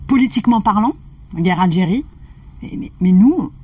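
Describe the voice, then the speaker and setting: A woman's voice: medium pitch, animated, normal speed, warm tone, breathy edge, no room sound. A woman of Algerian descent reflecting on her family history in a casual conversation. She sometimes searches for her words. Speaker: conversation partner. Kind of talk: casual chat.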